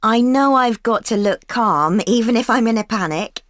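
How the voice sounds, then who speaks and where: An actress playing a keen young student in a scripted exam dialogue, agreeable and quick to laugh. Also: female voice, fairly high pitch, expressive, a bit bright, no echo. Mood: earnest. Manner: eager, agreeable, laughs often. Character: keen young female events-management student. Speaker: student planning a work placement. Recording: dry studio recording.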